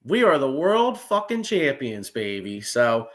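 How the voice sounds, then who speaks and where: A man, hosting a casual sports chat, excitable and profane with lots of restarts. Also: mid-range voice, unhurried. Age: 40s. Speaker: podcast host.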